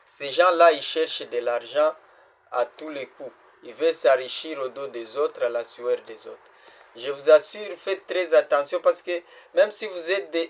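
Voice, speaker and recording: A man talking casually through a screen walkthrough, halting and repeating words, earnestly pleading, with his voice dropping very soft at the end of pleas. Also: on the high side. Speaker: vlogger warning about online scams.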